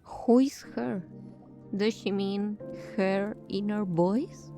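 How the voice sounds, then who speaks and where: A woman streamer with a mid-pitched voice comments casually on a game. She speaks slowly in short, musing reactions, with faint music behind her.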